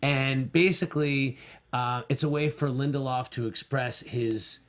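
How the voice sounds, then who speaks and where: A man's voice: medium pitch, fairly even intonation, measured pace, a bit bright. A middle-aged man co-hosting a casual panel podcast in a small studio, speaking enthusiastically into a close mic. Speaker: podcast co-host. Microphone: close studio mic with foam cover.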